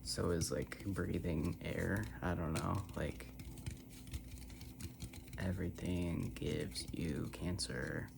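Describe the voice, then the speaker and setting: ASMR creator, soft low-pitched voice, unhurried casual rambling, near-whispers, clearly noisy recording with hum and tapping. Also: fairly even intonation. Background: hum, tapping and scratching sounds.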